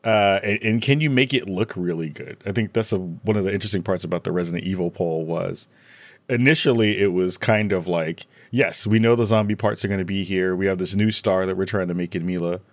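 A man with a fairly low voice talks casually in a panel discussion, recorded close and dry. His delivery is rambling and jokey, with animated emphasis as he works through his thoughts. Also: fairly quick, no echo.

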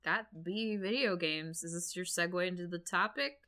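A woman's voice: on the low side, normal speed, pushed and bright, slight background noise. A woman co-hosting a podcast, chatting casually in a playful, wry way. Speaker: podcast co-host. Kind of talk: casual banter.

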